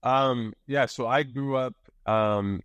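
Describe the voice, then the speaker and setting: A young man, a guest, telling his life story casually, with many pauses and fillers. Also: mid-range voice, smooth, no room sound, highs cut off. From grew up abroad in Russia, China and Uzbekistan. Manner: relaxed, hesitant, many fillers. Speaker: guest musician and entrepreneur.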